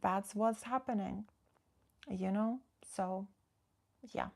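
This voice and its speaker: A woman's voice: mid-range voice, fairly even intonation, takes it slowly, creaky. A woman from the Netherlands, a relationship coach, chatting casually on a livestream, reading viewers' comments and giving direct advice.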